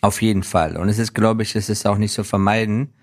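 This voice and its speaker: This is a man from Afghanistan with a low voice, answering as a guest in an interview. He talks in an earnest, reflective way. His sentences halt and restart as he searches for the next phrase. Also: fairly even intonation, brisk. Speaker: interview guest, business professional.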